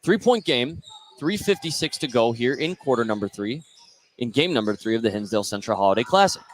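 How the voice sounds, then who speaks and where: A man's voice: medium pitch, expressive, brisk, a little hiss or hum. A man doing live basketball radio play-by-play, narrating each play in short phrases. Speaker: play-by-play commentator.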